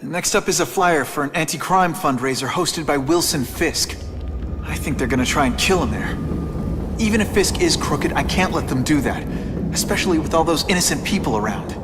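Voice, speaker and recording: An actor playing a young superhero in a video game, talking to himself in quick, joking quips. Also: male voice, on the high side, expressive, over music, lightly acted. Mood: wry. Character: wisecracking young male superhero. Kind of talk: video game cutscene dialogue.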